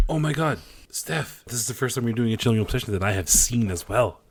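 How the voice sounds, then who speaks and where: A man co-hosting a podcast, chatting casually and excitably, with frequent fillers and laughs. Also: mid-range voice, brisk. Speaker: podcast co-host. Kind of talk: casual chat.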